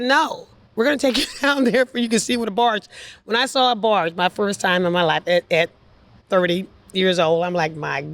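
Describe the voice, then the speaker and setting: An older woman telling personal stories casually as an interview guest, chatty and animated as she acts out what people said. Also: medium pitch. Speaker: interview guest, former air traffic controller. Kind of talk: casual anecdotal storytelling.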